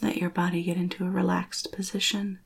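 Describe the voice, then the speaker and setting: A woman leading a spiritual guided meditation in a hushed, breathy near-whisper, slow and soothing with long pauses. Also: on the low side, level tone.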